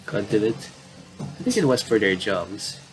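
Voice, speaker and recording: A man's voice: on the low side, very animated, unhurried, over music, low-quality recording. A man doing casual game commentary, reading visual novel dialogue aloud and often stumbling and repeating phrases.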